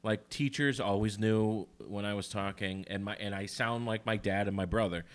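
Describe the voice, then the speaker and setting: A man co-hosting a podcast in casual chat, with a cutting voice that carries, talking in a relaxed, rambling way. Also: on the low side, moderate pace. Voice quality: says his voice is cutting and carries. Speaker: podcast co-host. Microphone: headphones and studio mic.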